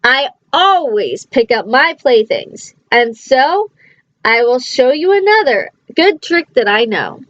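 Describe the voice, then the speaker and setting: A woman reads as a playful trickster tomcat in a storybook. The voice is high and unhurried, with showy, sing-song phrasing. The recording is a little dull. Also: big pitch swings, fairly bright tone, no room sound, full character voice. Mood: confident, reassuring.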